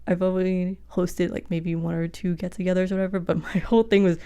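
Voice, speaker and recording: Young guest chef, first language Vietnamese, low-pitched, loose rambling chat. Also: female voice, flat delivery, a little fast, dark tone, smooth. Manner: chatty, many fillers, laughs often. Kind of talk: casual podcast conversation.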